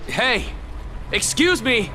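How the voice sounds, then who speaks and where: A man's voice: extremely high pitch, very animated, slow, noisy background, full character voice. A man playing an urgent, inquisitive lost wanderer in a video game, insistent and full of questions. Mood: urgent, calling out. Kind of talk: dramatic game voice acting.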